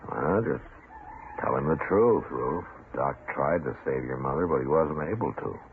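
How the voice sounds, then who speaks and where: Very deep-voiced American frontier marshal. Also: male voice, animated, normal speed. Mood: calm sympathy. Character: steady, plain-spoken frontier US marshal. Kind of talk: old-time radio western acting. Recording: dull, noisy old radio recording.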